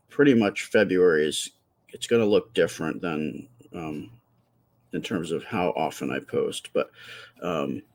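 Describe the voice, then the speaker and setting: A man with a quiet, soft voice chatting casually with viewers during a live stream while he draws. He rambles and stops to restart his sentences, speaking close to the microphone. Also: deep voice. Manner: rambling, halting, with many fillers and restarts.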